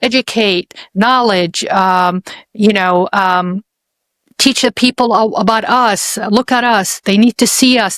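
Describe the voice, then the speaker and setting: A woman's voice: mid-range voice, a bit bright, dry recording. Middle-aged woman, interview host. Earnest, reflective, mid-range voice, dry recording. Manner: earnest, reflective, repeats and restarts phrases. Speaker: podcast host. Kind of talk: casual interview conversation.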